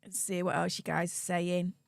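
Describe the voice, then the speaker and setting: A woman's voice: lowish voice, flat delivery, moderate pace, creaky, no echo. A woman with a Yorkshire accent hosting a live stream, reading out donations with perky, gushing enthusiasm. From Yorkshire, England.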